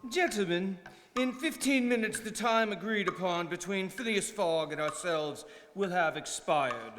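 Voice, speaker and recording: A man playing a pompous, confident gentleman club member in a radio drama. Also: high-pitched, expressive, a little slow, soft background music. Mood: smug. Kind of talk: stage radio drama acting. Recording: reverberant hall, clear noise, clicks.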